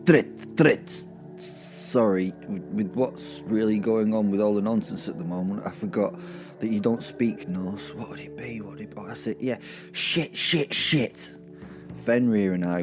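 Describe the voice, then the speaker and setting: A man's voice: lowish voice, a little slow, darkish tone, faint music behind, lightly acted. A man playing a wry, sweary Viking werewolf who narrates his hunt in rambling, conversational asides for an audio drama. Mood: exasperated. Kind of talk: first-person audio drama storytelling.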